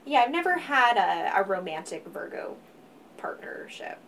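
A woman talking in a relaxed co-host chat about star signs, her voice quiet but rising in volume when she gets excited. She sits a little back from the microphone, so she sounds slightly distant. A faint hiss of room noise hangs behind her. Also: a little high, moderate pace. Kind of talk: casual astrology chat.

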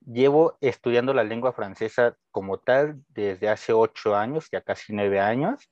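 A man's voice: medium pitch, a little slow, darkish tone, dry recording. A young Mexican man with a Mexican Spanish accent, a guest teacher answering casually and friendly, with hesitations and restarts. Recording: dull lo-fi dry sound.

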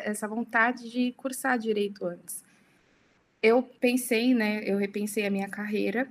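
A young woman speaking as a podcast guest, talking casually and often tagging her sentences with little questions. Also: fairly high pitch. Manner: chatty, many tag questions. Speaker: interview guest.

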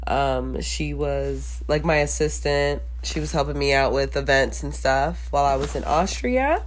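A woman's voice: deep voice, a little slow, poor audio. A woman in her thirties, an event curator who is a guest, chatting casually and correcting others in a teasing way. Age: 30s. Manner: teasing, corrects others, calls them babe. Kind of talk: casual interview chat.

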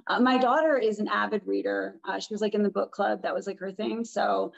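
A woman with a raspy voice, chatting as a guest in an interview, rambling and restarting her sentences. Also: mid-range voice, steady tone, brisk, muffled recording. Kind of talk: casual interview talk.

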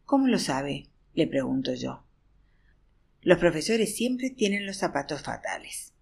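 Husky woman reading an audiobook aloud. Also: low voice, sing-song, measured pace, darkish tone. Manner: acts out a weary teacher's classroom chatter. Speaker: audiobook narrator.

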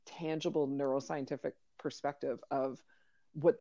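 A woman's voice: a bass voice, little pitch movement, moderate pace, dark tone, no echo, highs cut off. A woman hosting a casual mindfulness podcast, talking loosely with fillers and restarts. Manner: rambling, many fillers and restarts. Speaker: podcast host, coach to entrepreneurs.

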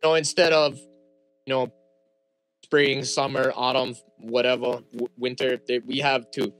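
A young man from Hawaii with a Hawaiian accent, whose first language is Hawaiian, talking as a guest in a casual interview with relaxed fillers and restarts. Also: mid-range voice, unhurried, low-quality recording.

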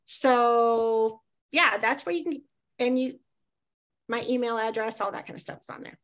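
Middle-aged woman podcast guest, high pitched, chatty and loud. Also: measured pace. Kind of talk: casual podcast conversation.

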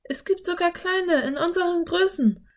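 A woman reading a children's story, voicing a lively, eager young girl who speaks in a high, excited voice. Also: moderate pace.

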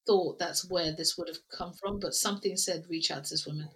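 A woman's voice: fairly low pitch, fairly even intonation, brisk, a bit bright, husky. A woman talking casually as a podcast guest, chattily retelling moments and quoting little asides. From Nigerian family background. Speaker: podcast guest, video producer. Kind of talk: casual conversational interview.

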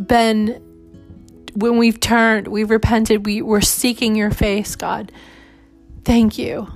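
A woman leading a spoken congregational prayer in an earnest, pleading way, often repeating "God" and "Lord.". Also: mid-range voice. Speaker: prayer leader. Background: soft guitar music.

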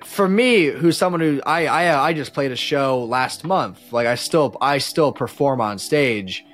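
A man's voice: medium pitch, unhurried, fairly bright tone, soft background music. A man chatting casually as a podcast guest, telling stories about his life as a musician in a slangy, rambling way with frequent false starts.

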